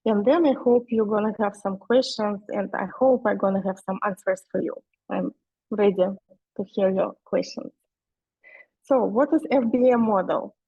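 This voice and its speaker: A woman's voice: mid-range voice, moderate pace, warm tone, slightly rough, no room sound. A woman from Russia with a Russian accent presents in a webinar, speaking English as a second language. She hesitates often and pads her sentences with fillers. The audio sounds dull and lo-fi, with a dry, close feel. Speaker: guest speaker.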